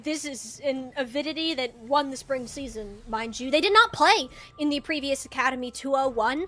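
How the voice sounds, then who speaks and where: Very high-pitched woman calling an esports match. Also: animated, normal speed, fairly bright tone. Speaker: esports commentator. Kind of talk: live play-by-play commentary.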